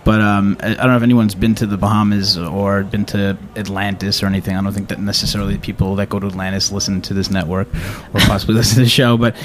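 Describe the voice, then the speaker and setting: A man hosting a radio show in a studio, chatting casually in a halting, rambling way. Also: on the low side, level tone, a little hiss or hum. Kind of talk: casual talk show chat.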